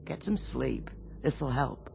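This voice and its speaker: An actor playing a wry, reassuring navy doctor with a gravelly voice in a radio drama. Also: male voice, mid-range voice, animated, slow, darkish tone, a little hiss or hum, heavily acted. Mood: soothing.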